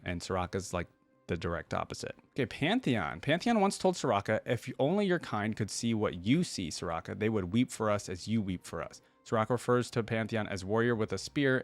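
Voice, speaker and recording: A man hosting a reaction video, reading text aloud and thinking out loud in casual asides between passages. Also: fairly low pitch.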